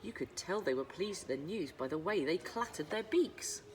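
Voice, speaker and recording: Expressive woman reading a children's story. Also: fairly low pitch, normal speed, background noise. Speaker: school librarian reading aloud.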